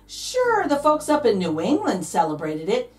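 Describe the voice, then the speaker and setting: A woman's voice: a little high, huge pitch swings, moderate pace, distant mic. A woman reading a picture book aloud to children, dramatic and playful, drawing out key words for emphasis. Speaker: read-aloud host, storyteller.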